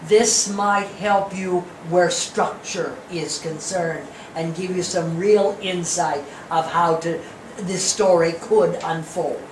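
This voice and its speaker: A woman in the audience giving measured, blunt feedback at a discussion after a play reading. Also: lowish voice, steady tone. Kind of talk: structured spoken critique. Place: theatre during post-reading discussion, far from mic. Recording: echoey room, distant mic, faint noise.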